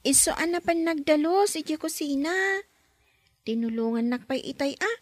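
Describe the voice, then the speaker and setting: A woman's voice: high-pitched, lively intonation, normal speed, darkish tone, no room sound. A woman playing a curious little girl. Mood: chatty. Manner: inquisitive, talks up to the adults. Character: young girl in a nanny's care, chatty and curious. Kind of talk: Ilocano radio drama acting.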